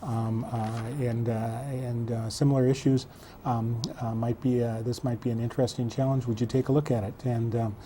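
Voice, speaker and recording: Measured man in his sixties. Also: medium pitch, flat delivery, warm tone, a little hiss or hum. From south central Minnesota. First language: German. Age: 60s. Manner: measured, halting, many fillers. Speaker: school superintendent guest. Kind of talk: local TV interview.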